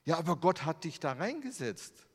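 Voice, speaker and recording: A man's voice: on the high side, sing-song, moderate pace, slightly rough. A German man giving a sermon with slides, in a conversational way, full of rhetorical questions. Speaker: preacher. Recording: long hall reverb.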